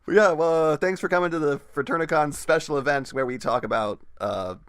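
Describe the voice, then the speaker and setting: A man's voice: fairly high pitch, brisk, fairly bright tone, smooth, dry recording. A man co-hosting in a casual chat, commenting bluntly and swearing freely. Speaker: co-host commenting on the reading.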